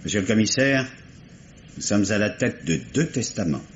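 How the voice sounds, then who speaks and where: Male police assistant played by an actor, lowish, lively pitch, respectful and eager. Vintage radio mystery. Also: moderate pace, lightly acted. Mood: eager, reporting. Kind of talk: 1950s French radio mystery acting. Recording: dull, noisy vintage radio recording.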